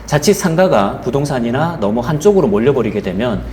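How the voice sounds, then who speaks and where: A man talks quickly with lively movement in a mid-range pitch, explaining like a property guide. His manner is polite and formal, a conversational sales pitch. There is faint noise behind him on location. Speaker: property leasing director, guide. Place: commercial building site and showroom.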